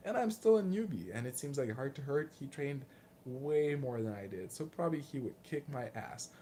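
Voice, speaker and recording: A man in his late twenties with a Lithuanian accent, casually answering viewer questions in a candid, self-deprecating, halting way. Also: mid-range voice, animated, warm tone, slight background noise. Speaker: martial arts vlogger and fighter.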